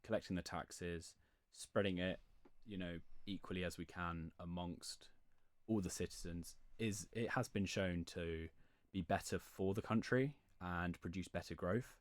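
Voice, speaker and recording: Low-voiced, measured male podcast guest. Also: fairly even intonation, no echo. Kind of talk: conversational explanation.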